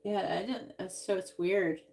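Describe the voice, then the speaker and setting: A woman reading tarot cards on a live stream, musing out loud and trailing off with sighs. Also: mid-range voice, steady tone, measured pace, gravelly. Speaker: tarot reader.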